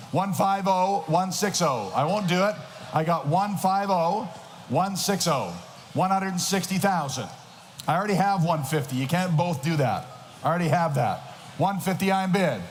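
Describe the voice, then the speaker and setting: A man working as an auctioneer, calling bids in a rapid rhythmic chant and shouting the numbers. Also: sits high. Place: large auction hall. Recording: noisy reverberant hall.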